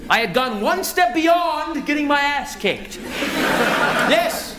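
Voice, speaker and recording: A man telling a story as a stand-up comedian, acting it out in an animated way. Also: very high-pitched, takes it slowly. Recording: live club recording, loud audience laughter, noisy. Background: laughing audience.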